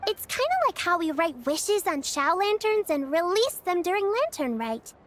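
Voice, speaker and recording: Young girl game character, high, lively, cheerful, caring, earnest explainer. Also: normal speed, slight background noise. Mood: eager explaining. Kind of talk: video game character acting.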